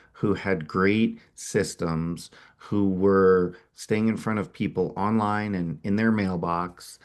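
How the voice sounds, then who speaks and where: A middle-aged man with a cold giving an energetic webinar sales presentation as a company founder, often repeating words as he restarts. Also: lowish voice. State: has a cold, sniffling.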